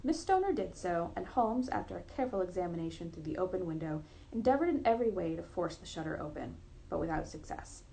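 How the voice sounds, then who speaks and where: Creaky-voiced woman reading a story aloud. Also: lowish voice, sing-song, fast talker, mellow, a little hiss or hum, rough recording. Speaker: reader of a classic story. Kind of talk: read-aloud literary narration.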